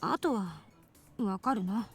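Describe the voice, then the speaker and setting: A woman's voice: medium pitch, lively intonation, normal speed, soft background music, lightly acted. A woman voicing a prickly, defensive, brainy young woman in a Japanese visual novel, with a curt, huffy manner. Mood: sullen, reluctant. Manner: curt, tsundere huffiness.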